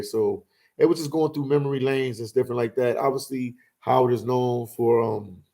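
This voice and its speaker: A man's voice: mid-range voice, warm tone. Easygoing guy in his forties, chatty livestream host, lots of "man" and "you know", rambling stories. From Philadelphia. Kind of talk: casual livestream chat.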